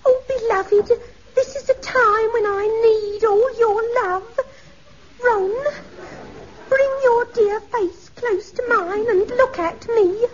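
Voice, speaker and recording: A sobbing melodramatic young fiancée. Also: female voice, very high-pitched, slow pace. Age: young adult. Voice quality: gushing, overwrought, melodramatic. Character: tearful melodramatic young woman, an office girl engaged to be married. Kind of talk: 1950s radio comedy acting. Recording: dull old radio recording.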